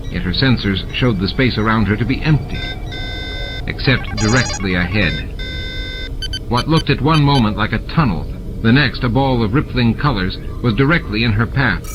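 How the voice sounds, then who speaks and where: Male narrator for a dramatic sci-fi audiobook, medium-pitched, over prominent music and effects. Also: muffled recording. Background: music and sound effects.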